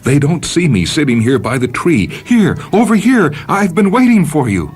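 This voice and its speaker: A man's voice: fairly high pitch, very animated, moderate pace, darkish tone, voice pushed up. A man voicing a desperate, dying stranded spaceman in a dramatic story reading. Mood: desperate pleading. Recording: music under the voice.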